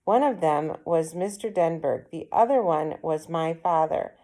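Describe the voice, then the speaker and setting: A woman with a slightly low voice reads a children's novel aloud. She narrates in the first person as a storyteller. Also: measured pace, warm tone, low-quality recording. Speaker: read-aloud reader.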